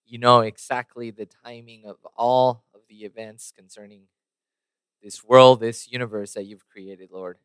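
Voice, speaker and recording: A man's voice: mid-range voice, fairly even intonation, measured pace, clear, resonant tone, dry recording, low-quality recording. A man preaching a sermon and praying, losing his voice a little, with many fillers and restarts. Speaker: pastor. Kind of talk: sermon with prayer.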